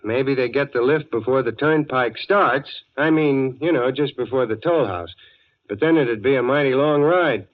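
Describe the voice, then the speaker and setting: An actor playing a folksy, chatty American gas station attendant in a 1940s radio drama. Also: male voice, mid-range voice, moderate pace, natural voice. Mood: easygoing. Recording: dull, noisy old radio recording.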